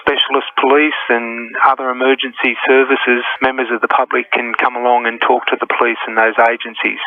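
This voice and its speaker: A man, a police inspector, makes a prepared announcement with little pitch movement. He sounds like he is on a narrow telephone line. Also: level tone, brisk, a little hiss or hum. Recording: tinny, muffled phone line.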